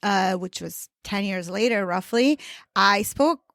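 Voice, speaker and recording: A woman's voice: medium pitch, a little slow, gravelly, a bit bright, no room sound, clean studio sound. A woman in her thirties from Romania with a Romanian accent, chatting as a podcast guest, laughing often and talking with many fillers and restarts. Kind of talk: casual interview chat.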